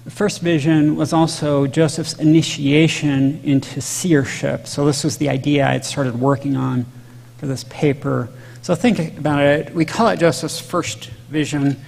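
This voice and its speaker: A man's voice: on the high side, fairly even intonation, a little slow, vocal fry, darkish tone. A middle-aged man giving an earnest, explanatory conference lecture at a lectern in a large hall, sometimes correcting himself mid-sentence. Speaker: conference speaker. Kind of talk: conference lecture on church history. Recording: long hall reverb.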